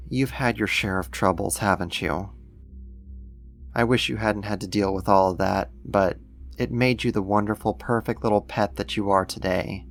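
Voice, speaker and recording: A man playing a doting alien creator who soothes a human pet, affectionate and gently possessive, in an ASMR roleplay. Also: lowish voice, gravelly.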